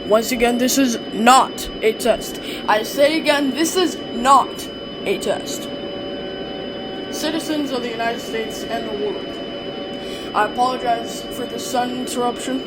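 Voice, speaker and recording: A performer playing a grave President, giving a solemn, deliberate scripted emergency address about the end of the world. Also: close to an everyday voice. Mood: grave, urgent. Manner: solemn, deliberate, repeating for emphasis. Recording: very loud noise, vehicle-like rumble.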